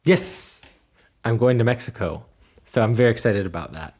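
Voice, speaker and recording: A man's voice: medium pitch, expressive, normal speed, darkish tone, slightly rough. A man co-hosting a podcast, chatting casually and often thinking aloud. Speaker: podcast co-host. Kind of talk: casual chat.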